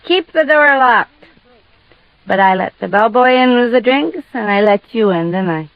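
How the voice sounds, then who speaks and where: Gullible tipsy moll, high female voice, slangy, flustered, old radio detective drama, muffled band-limited sound. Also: lively intonation, moderate pace, no echo. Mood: wheedling, suspicious. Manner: slangy, coy, easily flustered. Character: tipsy, gullible blonde moll, frightened of her boyfriend.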